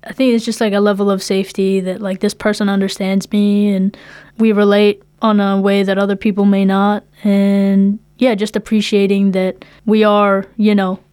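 A woman's voice: medium pitch, little pitch movement, normal speed, a little mellow, clean tone, no room sound. A young woman with a New Zealand accent, talking informally and with slang in a casual interview as a musician guest. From Philippines, moved to New Zealand at eight.

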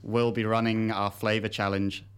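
A man's voice: fairly low pitch, flat delivery, normal speed, clear, resonant tone. A man appearing as a guest on a radio show, explaining things in a laid-back way with many fillers. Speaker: coffee roaster guest. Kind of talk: casual radio interview.

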